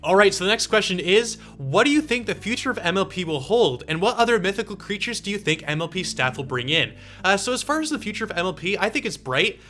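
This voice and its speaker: A man's voice: sits high, very animated, fast, hardly any pauses, sharp tone, a little hiss or hum. An eighteen-year-old man talking casually to viewers as he answers questions, in a chatty, explanatory way.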